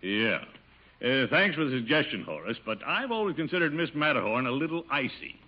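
A pompous middle-aged American official in a 1950s radio sitcom. His husky voice blusters and sighs with exasperation, sweeping widely up and down. The old radio recording is muffled. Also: medium pitch, sing-song. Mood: wary. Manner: blustering, sighing, drawn-out exclamations. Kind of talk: 1950s radio situation comedy acting.

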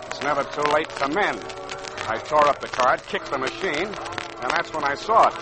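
An actor playing a cynical, tough private detective with clipped, wisecracking delivery in a 1940s radio drama. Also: male voice, fairly high pitch, animated, moderate pace, loud music behind the voice, close to an everyday voice. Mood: wry narration. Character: hard-boiled cynical male private detective narrating his case. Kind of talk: 1940s radio detective acting, first-person narration. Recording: noisy old-time radio recording.

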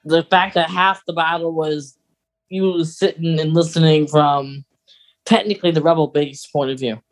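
Casual podcast co-host, mid-range pitch, conversational and rambling, often self-correcting. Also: fairly even intonation, normal speed, rough recording. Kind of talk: casual chat.

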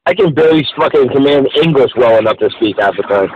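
A man's voice: mid-range voice, animated, fast talker, narrow, tinny audio, a little hiss or hum. A man in casual podcast chat, laid-back, laughing often and swearing casually. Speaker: podcast co-host.

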